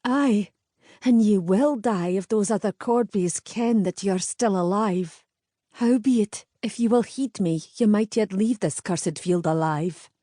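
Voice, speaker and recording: A woman voicing a bold, steady young Scottish woman with a firm, commanding manner, in a Scottish accent. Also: mid-range voice, a little slow, no echo, clean studio sound, lightly acted. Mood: urgent. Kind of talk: audiobook character voice.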